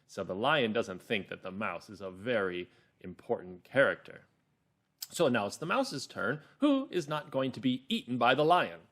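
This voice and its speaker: A man teaching a children's reading lesson and narrating a story, clearly and deliberately, in an instructional way. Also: medium pitch, big pitch swings, a bit bright. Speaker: teacher, host.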